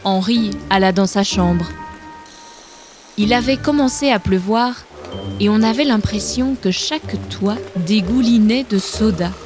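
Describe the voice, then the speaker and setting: A woman's voice: mid-range voice, a little slow. A woman narrating a children's storybook and hosting, with music behind her. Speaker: storybook narrator and channel host. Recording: music bed under the voice.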